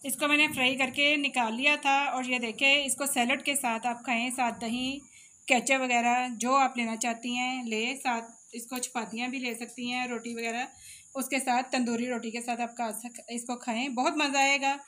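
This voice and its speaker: A woman's voice: fairly high pitch, little pitch movement, normal speed, pushed and bright. A woman, hosting a cooking channel and narrating a recipe step by step, addressing viewers directly from a home kitchen. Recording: echoey room with faint background noise.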